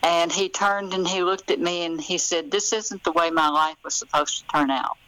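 A woman's voice: lowish voice, fairly even intonation, moderate pace, pushed and bright, smooth, low-quality recording. An older American woman, telling stories conversationally as an author being interviewed.

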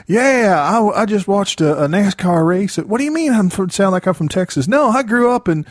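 A man acts out a loud redneck character, pitched well up and drawling hard. He sounds defensive and boastful, like a comic caricature in casual talk, with a close, dry sound. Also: expressive, moderate pace, no echo. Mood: indignant, boastful.

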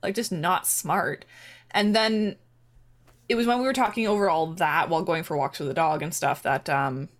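A woman's voice: lowish voice, normal speed. A woman talking casually and at length about building a game character, rambling with many fillers and quoting her own thoughts aloud. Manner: chatty, rambling, full of "like" and acted-out quotes. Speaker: player on a tabletop game show. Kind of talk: casual chat.

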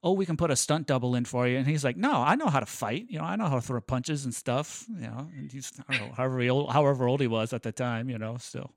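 A man's voice: medium pitch, lively intonation, quick pace, vocal fry, few pauses, no room sound. An American man co-hosting a podcast in casual chat, easygoing and rambling, often repeating his phrases. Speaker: podcast co-host.

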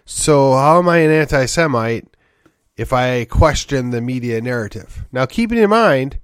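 A man's voice: medium pitch, expressive, moderate pace, clear, resonant tone, dry recording, studio quality. A man hosting a solo political commentary podcast, congested from a sinus infection, rambling and wry with sighs and short laughs. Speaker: podcast host.

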